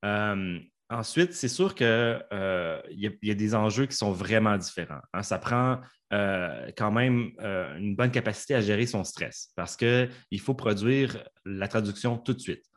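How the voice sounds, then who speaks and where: A man's voice: lowish voice, expressive, a little slow. A man from Canada with a Canadian French accent, an interpreter talking in a podcast interview from his home studio, hesitant with many fillers and restarts.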